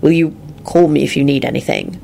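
A woman's voice: sits low, brisk, gravelly, warm tone, a little hiss or hum. A woman voicing a mild, dry-humoured, sheepish man in a storybook, with an understated, mumbling delivery. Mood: stern, concerned.

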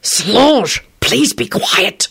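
A woman's voice: sits low, lively intonation, a little fast, a little mellow. A dry-witted British man, voiced by a female narrator in a story reading. He is flustered and hastily polite, and his intonation moves around a lot. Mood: exasperated.

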